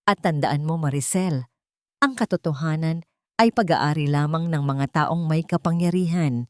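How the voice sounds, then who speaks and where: A woman's voice: deep voice, moderate pace, no room sound, studio quality, natural voice. A woman voicing a cruel, wealthy villainess who speaks with cold, threatening contempt. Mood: imperious threat.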